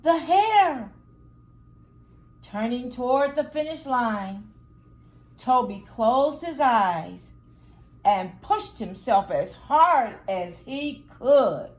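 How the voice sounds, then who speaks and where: A woman reads a picture book aloud to children as a storyteller, with deliberate, dramatic phrasing. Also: on the high side, animated. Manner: deliberate, dramatic phrasing with acted sound words. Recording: echoey, roomy sound.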